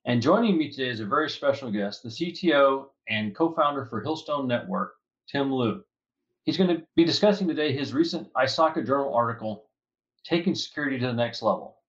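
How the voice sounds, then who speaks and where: A male host leads an interview with bright, scripted introductions and questions. His voice sits at a middle pitch for a man. The audio is a little dull and lo-fi. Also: expressive, brisk. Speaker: interview host, security advisor. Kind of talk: hosted interview.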